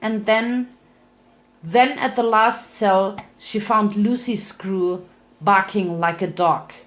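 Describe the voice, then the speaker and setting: A woman's voice: medium pitch, steady tone, takes it slowly. A woman narrating a story as a dramatic reading, now and then stumbling and rereading a phrase. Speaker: fan fiction reader.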